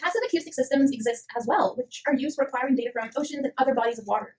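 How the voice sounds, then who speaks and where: A woman's voice: high voice, rapid-fire, a little mellow. A woman voicing an earnest puppet science co-presenter, reading scripted educational narration in an explanatory way. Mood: earnest explaining.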